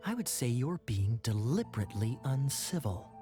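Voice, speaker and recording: An actor playing a sly young male captain who needles his brother with smooth sarcasm, over game music. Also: medium pitch, big pitch swings, moderate pace, close to an everyday voice. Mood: teasing.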